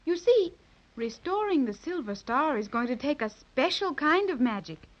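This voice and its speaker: An actress playing a kindly, regal fairyland queen, gentle and careful in her words, in a 1930s radio drama. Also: high-pitched, big pitch swings, moderate pace. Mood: gently instructive. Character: gracious, kindly fairyland queen, grown woman. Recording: dull lo-fi 1930s radio recording.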